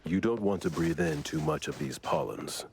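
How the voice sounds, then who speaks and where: Very deep game investigator, gravelly fry, dry, cautious, measured. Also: male voice, a little hiss or hum. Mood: cautioning. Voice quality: gravelly, husky. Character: gruff, low-voiced man, cautious seasoned anomaly investigator. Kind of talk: video game voice acting.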